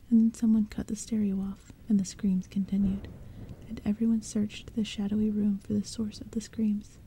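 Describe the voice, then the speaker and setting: A woman narrating an audiobook in a soft, hushed whisper. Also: medium pitch, slight background noise, rough recording.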